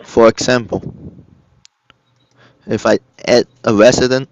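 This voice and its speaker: A man narrating a step-by-step software tutorial in short, halting instructional phrases. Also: mid-range voice, fairly even intonation, creaky. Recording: dull lo-fi computer recording. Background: screen-recorded tutorial voice-over.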